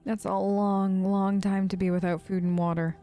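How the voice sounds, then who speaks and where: A woman's voice: medium pitch, little pitch movement, moderate pace, dark tone, soft background music. A woman hosting a gaming video, role-playing her side of the dialogue in a chatty, wry, informal way. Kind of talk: let's play role-play, casual in-character dialogue.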